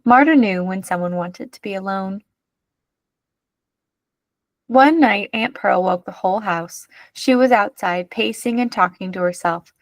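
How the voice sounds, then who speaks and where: A woman narrates a children's picture book. Her delivery is expressive storytelling at a medium pitch. The recording is dry, with very little echo. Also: unhurried. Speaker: read-aloud narrator.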